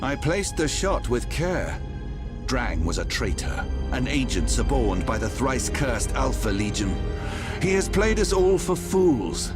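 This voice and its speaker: An actor playing a ruthless, haughty inquisitor in a video game, speaking with theatrical command and cold menace. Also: male voice, medium pitch. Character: imperious, ruthless male inquisitor, coldly menacing. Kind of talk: video game cutscene acting. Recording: music behind the voice.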